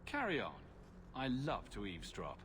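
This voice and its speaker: A man's voice: mid-range voice, huge pitch swings, normal speed, a bit bright. An actor playing a wry, sarcastic male adventurer hero in a game, with a casual, dry delivery. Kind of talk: game dialogue. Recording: clearly noisy ambience behind voice.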